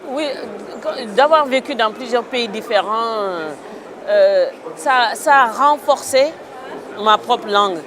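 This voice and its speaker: A woman's voice: fairly high pitch, slow. A woman from Senegal, an author answering interview questions outdoors in a thoughtful, hesitant way with many fillers. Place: outdoors in a town. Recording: crowd noise outdoors. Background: crowd chatter.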